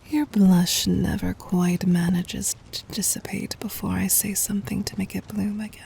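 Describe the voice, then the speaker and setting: A woman playing a gentle, doting demon queen in an ASMR roleplay, in a soft breathy whisper with tender, formal, intimate delivery. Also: medium pitch, steady tone, measured pace, heavily acted. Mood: teasing, affectionate.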